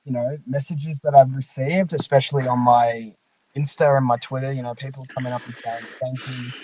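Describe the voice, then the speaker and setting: A man hosting a casual livestream chat, speaking earnestly and haltingly and often repeating himself. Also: medium pitch, steady tone, a little mellow. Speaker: podcast host and fan-dub organiser. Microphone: online voice call microphone. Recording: noisy mic with uneven volume and clicks.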